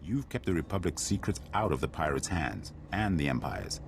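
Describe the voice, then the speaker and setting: An actor playing a wry, world-weary military commander in a video game, with a blunt manner. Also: male voice, a bass voice, big pitch swings, moderate pace, creaky, background noise, full character voice. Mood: approving. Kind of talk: video game cutscene acting.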